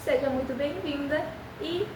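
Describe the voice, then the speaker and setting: A young woman who is a native Spanish speaker, speaking Portuguese with a Spanish accent and teaching beginners with an encouraging manner, repeating phrases slowly for practice. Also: high voice, reverberant, distant mic, background noise. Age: young adult. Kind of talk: beginner language lesson.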